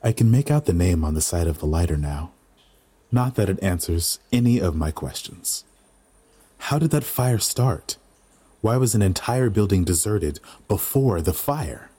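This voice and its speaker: Man acting as put-upon young male restaurant worker, deep voice, restrained, simmering under politeness, audio drama. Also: expressive, unhurried, mellow, breathy edge, heavily acted. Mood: brooding.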